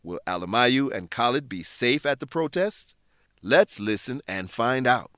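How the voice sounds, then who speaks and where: Male narrator, medium pitch, animated intonation, scripted educational radio hosting. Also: a little slow, slight background noise. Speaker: narrator and English lesson host. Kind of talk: scripted narration with questions to listeners.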